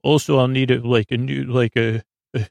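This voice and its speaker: A man with a creaky, fry-laden voice delivers a rambling bedtime monologue as a podcast host. His phrasing is halting and stammering, drifting off into tangents. The sound is dry, with no room around the voice, and his pitch sits in an ordinary male range. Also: flat delivery, darkish tone, dry recording. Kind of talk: rambling bedtime monologue to lull listeners to sleep.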